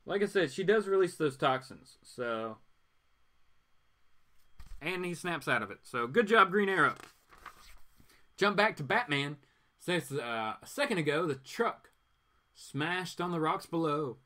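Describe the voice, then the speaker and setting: Animated bright-voiced man retelling a story. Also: on the high side, a little slow, pauses often. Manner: animated, slangy, wry asides. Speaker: host reading comic books aloud. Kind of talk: casual dramatic reading with commentary.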